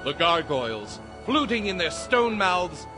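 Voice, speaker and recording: A man's voice: sits high, sing-song, measured pace. A man narrating a dramatized audiobook story in a dramatic, scripted storytelling style. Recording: dramatic music under the voice.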